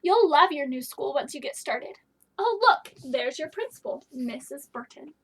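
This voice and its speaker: A woman's voice: high-pitched, animated, normal speed. A woman voicing an exasperated but reassuring husband in a storybook, firm and coaxing. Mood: brisk, reassuring.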